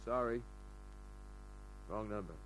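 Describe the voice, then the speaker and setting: An actor playing a cool, sardonic private detective in an old-time radio crime drama. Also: male voice, on the low side, takes it slowly, a bit bright, faint music behind, rough recording. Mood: cool, guarded. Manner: dry, sardonic, unruffled.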